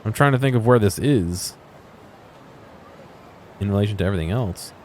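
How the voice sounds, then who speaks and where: A low-pitched man gives off-the-cuff commentary while exploring a video game. He thinks out loud in a casual, wondering way. There is some background noise of street-like ambience behind his voice. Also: moderate pace. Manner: musing, thinking aloud. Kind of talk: casual unscripted gameplay commentary.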